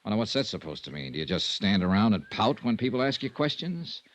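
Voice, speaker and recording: An actor playing a self-assured insurance investigator in a 1950s radio detective drama, speaking in a clipped, dry, sardonic way. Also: male voice, fairly low pitch, very animated, quick pace, highs cut off. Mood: pressing, impatient. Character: hard-boiled wry male insurance investigator, self-assured. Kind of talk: 1950s radio detective drama acting and first-person narration.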